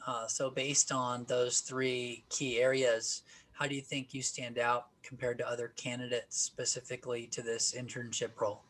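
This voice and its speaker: A man leads a practice job interview, asking questions at a measured pace. His pitch is mid-range but held very level, so his voice sounds flat and even. He drops in an occasional "uh.". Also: low-quality recording.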